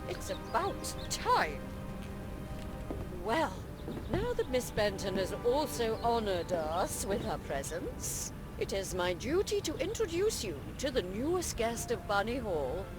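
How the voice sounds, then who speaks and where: A woman voicing a stern, haughty school headmistress in an animated game, pompous and scornful. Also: on the high side, slow pace. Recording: loud music behind the voice.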